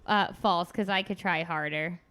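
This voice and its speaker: A woman co-hosting a podcast, chatting casually and answering quiz questions with quick, joking quips. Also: on the low side, steady tone, a bit bright, smooth. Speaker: podcast co-host. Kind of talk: casual chat.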